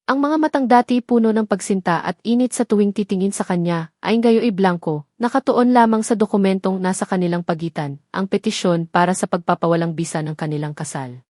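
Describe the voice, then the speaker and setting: A woman's voice: medium pitch, a little mellow, no echo, studio quality. A woman narrating a scripted romantic drama story in a solemn, dramatic manner.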